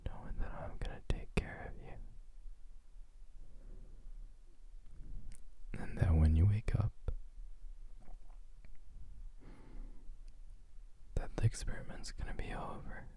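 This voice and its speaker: A man playing a possessive, doting doctor in an ASMR roleplay, coaxing a test subject into hypnosis in a soothing, breathy whisper with drawn-out phrases. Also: low-pitched, dark tone, lightly acted.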